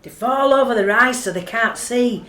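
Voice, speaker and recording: A woman's voice: fairly high pitch, normal speed, fairly bright tone, lightly acted. A woman playing a declamatory, mocking chronicler in a radio play. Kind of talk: dramatic radio play narration. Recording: roomy, echoing sound.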